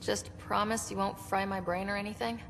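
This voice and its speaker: A woman's voice: mid-range voice, flat delivery, normal speed, natural voice. An actress playing a young female explorer in a video game, casual, wry and direct. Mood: curious, wry. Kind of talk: scripted video game dialogue. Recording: reverberant space, clear background noise.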